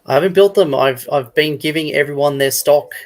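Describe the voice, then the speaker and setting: A man's voice: on the high side, fairly quick. A man, a store retailer, chatting casually as a guest on a hobby show.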